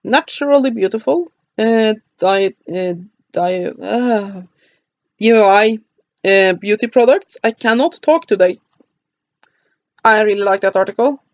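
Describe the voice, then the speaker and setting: A woman with a Norwegian accent talks through a book's contents in a relaxed, halting way, often pausing and tripping over names. Her mid-pitched voice is recorded dry and close. The sound is slightly muffled and dull. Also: no echo. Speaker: book reviewer. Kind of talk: casual book review, reading a table of contents.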